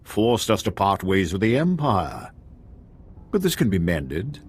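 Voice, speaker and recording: An actor playing a scheming, greedy renegade admiral in a video game, husky and formal, with a deliberate, commanding manner. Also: male voice, lowish voice, big pitch swings, heavily acted. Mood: bargaining. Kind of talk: dramatic video game acting.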